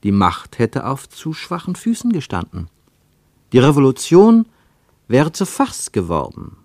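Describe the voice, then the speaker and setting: An actor as the narrator of a literary radio travel report, with formal diction and an emphatic, measured way of telling. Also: male voice, mid-range voice, wildly swooping pitch, a little mellow, no echo, clean studio sound. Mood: emphatic narration.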